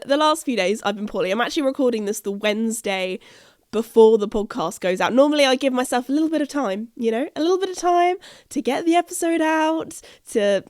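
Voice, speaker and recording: British woman, croaky and husky, rambling podcast chat, fairly high voice, dry recording. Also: no echo. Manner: chatty, rambling, self-interrupting. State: croaky, husky voice from a chest infection. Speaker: podcast host. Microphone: podcast microphone.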